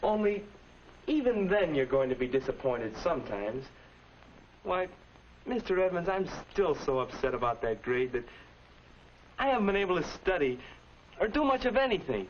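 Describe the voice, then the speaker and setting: Anxious teenage schoolboy, 1950s educational film, dull noisy soundtrack, deferential, earnest, swooping intonation. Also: male voice, on the high side, very animated, moderate pace, long pauses. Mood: troubled. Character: anxious, earnest, polite high school boy. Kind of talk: 1950s instructional film acting.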